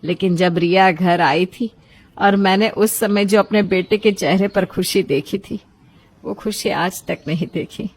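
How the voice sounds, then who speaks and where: A woman's voice: medium pitch, measured pace, close to an everyday voice. A woman voicing a middle-aged mother who is repentant and devoted to her son, speaking sincerely and emphatically in a storybook tale. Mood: remorseful, tender. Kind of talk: acted storybook dialogue.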